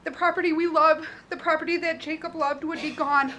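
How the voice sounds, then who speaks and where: A woman's voice: sits high, fairly even intonation, fairly quick, bright, forceful tone. A woman in her thirties from Chicago with a northern accent, reading an earnest, emotional statement at a podium microphone in a county courtroom. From Chicago, USA. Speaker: public commenter. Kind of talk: prepared public statement. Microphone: podium mic.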